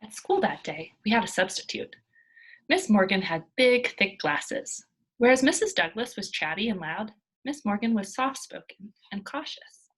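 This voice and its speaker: A woman reading a storybook aloud as a teacher, expressive and emphatic, with dramatic hushed moments. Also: medium pitch, normal speed, slightly rough.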